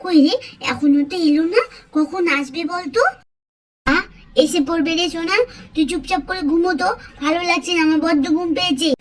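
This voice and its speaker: A woman's voice: very high-pitched, fairly even intonation, a little fast, pauses often, voice pushed up. A woman voicing a boastful, squabbling small child in a doll cartoon, whiny and insistent. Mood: sleepy, impatient.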